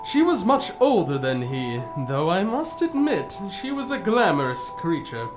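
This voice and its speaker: A woman playing a genial, easily flustered older gentleman storyteller in a radio drama, with a proper, old-fashioned way of talking. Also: lowish voice. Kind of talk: radio drama narration and dialogue.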